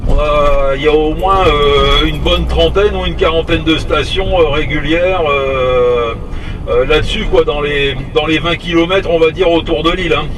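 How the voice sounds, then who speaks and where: Higher-voiced man, distant and noisy. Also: normal speed. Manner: hesitant, rambling, tag words at phrase ends. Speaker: CB radio operator. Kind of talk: casual CB radio chat. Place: inside a moving vehicle. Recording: distant mic, steady vehicle noise.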